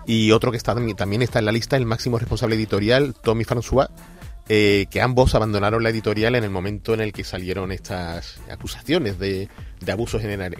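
A man co-hosting a gaming news show, chatting casually with hesitations and asides. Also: lowish voice. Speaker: radio co-host.

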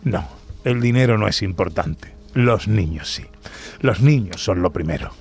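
A man's voice: low-pitched, animated, a little slow, a little mellow. An actor playing a worried, proud husband and father in a radio play, hesitant and repeating himself. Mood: weary, evasive.

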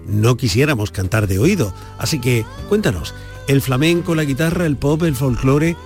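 Male radio host, lively and chatty, over faint music. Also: medium pitch, normal speed. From Andalusia. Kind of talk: radio program presenting and interview. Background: music bed.

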